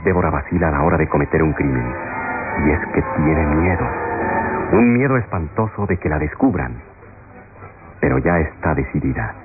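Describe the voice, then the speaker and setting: An actor as the solemn narrator of a suspenseful radio melodrama, telling the story gravely and dramatically. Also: male voice, rumbling low. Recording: tinny radio sound under loud music.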